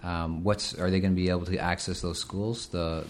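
A man's voice: sits low, little pitch movement, normal speed. A man hosting a podcast, asking questions in a casual, hesitant way with many fillers. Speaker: podcast host. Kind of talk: podcast interview.

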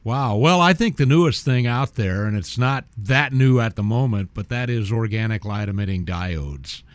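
A man's voice: on the low side, animated, moderate pace, no echo. Genteel middle-aged man, dry studio voice. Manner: genteel, chatty, with small restarts. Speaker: guest audio-video installer. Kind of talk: casual radio chat. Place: radio studio.

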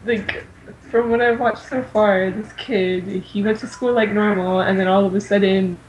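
A woman's voice: mid-range voice, steady tone, normal speed, slight background noise, low-quality recording. A young woman co-hosting a casual fan podcast, talking in an informal, rambling way full of filler words. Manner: informal, rambling, says "like" often. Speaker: podcast co-host.

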